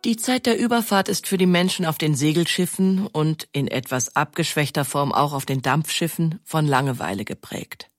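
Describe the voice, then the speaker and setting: A woman's voice: sits low, moderate pace, few pauses, no echo, studio quality, faint music behind. A woman reading scripted narration for an audio history documentary.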